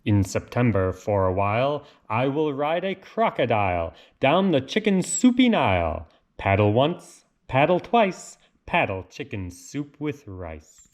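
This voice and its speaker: A man reads rhyming picture-book verse aloud at an unhurried pace. His mid-pitched voice swings widely and theatrically, with a sing-song lilt made for children. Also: big pitch swings. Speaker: read-aloud storyteller.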